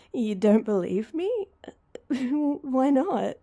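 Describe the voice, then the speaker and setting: A woman's voice: high voice, expressive, drawn-out words, warm tone, exaggerated voice. A woman playing an awkward, heartbroken young woman in an intimate roleplay audio drama, speaking hesitantly and with self-deprecation. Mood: flustered, awkward.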